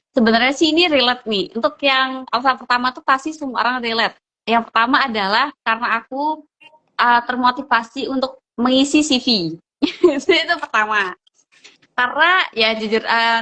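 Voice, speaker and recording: Bright, chatty young woman from Pekalongan. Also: on the high side. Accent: Javanese Pekalongan. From Pekalongan, Central Java. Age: 20s. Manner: chatty, laughs often, repeats words. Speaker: guest speaker. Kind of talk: casual podcast chat.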